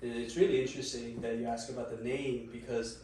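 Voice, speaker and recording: A man's voice: medium pitch, fast. Male guest, late 20s, easygoing storyteller, distant mic, very echoey room with faint hiss. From born in South Korea, raised in Los Angeles. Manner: relaxed, laughs easily, many fillers. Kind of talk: casual interview storytelling. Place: office room.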